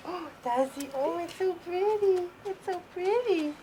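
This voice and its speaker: A woman cooing to a baby in drawn-out, sing-song baby talk. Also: very high voice, warm tone, a little hiss or hum, low-quality recording.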